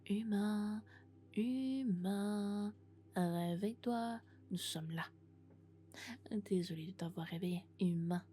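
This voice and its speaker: A woman playing a lovesick, possessive female robot in an ASMR roleplay, speaking in a hushed, breathy, intimate whisper. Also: medium pitch, slow, faint music behind, lightly acted. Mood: tender, eager.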